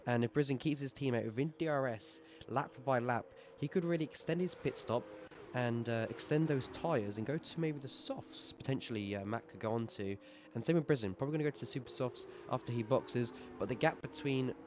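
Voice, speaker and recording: A man with a sore throat calling a simulated motor race live in quick play-by-play. His voice sits at an ordinary middle pitch. A clear hum of noise runs behind him. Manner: brisk play-by-play, gets excited at overtakes. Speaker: sim racing commentator. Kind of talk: live esports race commentary.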